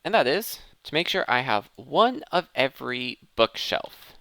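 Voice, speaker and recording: A man hosts a gaming video in a casual, think-aloud style, often breaking off to restart a thought. His voice is bright and crisp, with animated swings in pitch. It is recorded close and dry. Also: unhurried, no room sound. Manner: self-interrupting, thinking aloud. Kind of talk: casual gameplay commentary.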